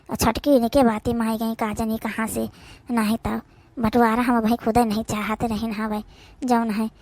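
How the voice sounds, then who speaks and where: A woman's voice: on the high side, no room sound. A woman with an Awadhi accent acting out a rural family drama for a cartoon in colloquial, complaining village speech. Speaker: cartoon voice performer.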